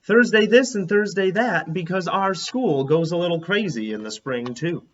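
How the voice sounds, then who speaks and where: Animated man reading aloud, dull lo-fi sound. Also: sits high, normal speed. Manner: expressive, stresses and draws out words. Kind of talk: animated children's read-aloud narration.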